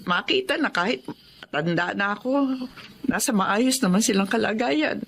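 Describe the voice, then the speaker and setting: A woman's voice: medium pitch, normal speed, vocal fry, fairly bright tone, a little hiss or hum. A woman playing a worried, long-suffering older mother in a drama, plaintive and earnest as she speaks to and about her grown children. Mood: sad, weary. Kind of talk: Filipino radio-style drama acting.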